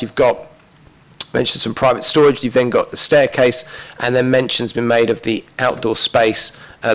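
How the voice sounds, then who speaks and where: A man presenting a planning application to a council committee, talking methodically through slides while losing his voice and clearing his throat. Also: medium pitch, rough recording. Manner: methodical, many fillers and restarts, talks through slides. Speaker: planning officer. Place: council chamber.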